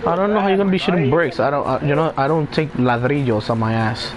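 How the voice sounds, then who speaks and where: A man's voice: fairly high pitch, fast, crowd noise. A man teasing his friends in casual chat while playing an online shooter, switching between English and Spanish. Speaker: gamer in party chat. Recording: noisy online party chat.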